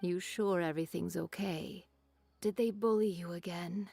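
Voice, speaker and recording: A woman playing a worried, soothing middle-aged mother in a video game, with a husky, creaky voice. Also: on the low side, fairly even intonation, moderate pace, warm tone, dry recording, faint music behind. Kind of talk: video game character acting.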